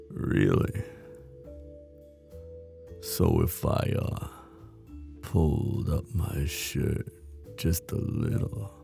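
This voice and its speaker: Male actor as smooth menacing mob boss, heavy vocal fry, swooping intonation, teasing drawl, intimate audio roleplay. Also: warm tone, full character voice. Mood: flirty, cocky. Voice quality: deep, gravelly.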